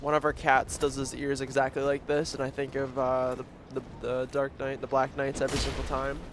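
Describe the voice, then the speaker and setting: A man with a bit of a cold, sniffling as he gives relaxed, rambling commentary over his gameplay, with lots of fillers and restarts. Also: medium pitch, brisk, background noise. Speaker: gaming commentator.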